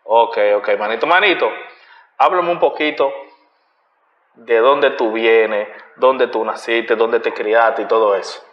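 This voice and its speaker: A young adult man with a Dominican Spanish accent, hosting a casual interview with friendly street slang, recorded in a bright living room from a far camera. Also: mid-range voice, takes it slowly. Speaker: host. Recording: lo-fi roomy sound.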